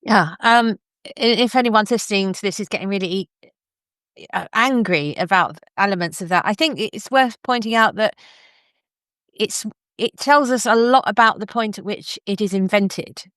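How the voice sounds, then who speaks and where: A woman's voice: medium pitch, moderate pace, a bit bright, dry recording, clean studio sound. A woman hosting a podcast, speaking conversationally as she interviews a guest.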